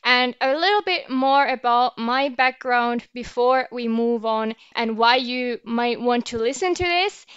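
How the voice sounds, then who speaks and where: A woman's voice: on the high side, a little slow, hardly any pauses, a bit bright, clear, resonant tone, highs cut off. A woman with a Finnish accent, a native Finnish speaker and teacher, reviewing a language app and explaining as she goes. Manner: explains and comments while trying the app. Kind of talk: app review, talking to camera.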